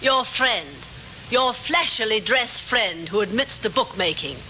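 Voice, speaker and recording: An actress playing a grand, commanding theatre manager, formal and coolly accusing, in 1950s radio drama style. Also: female voice, fairly high pitch, lively intonation, measured pace. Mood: cold, imperious. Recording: noisy, muffled 1950s radio recording.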